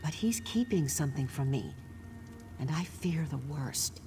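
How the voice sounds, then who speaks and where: A troubled, discreet wife from a fantasy video game. Her delivery is earnest and guarded, with very animated pitch. Faint music plays beneath her words. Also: a little slow. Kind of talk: scripted game character dialogue.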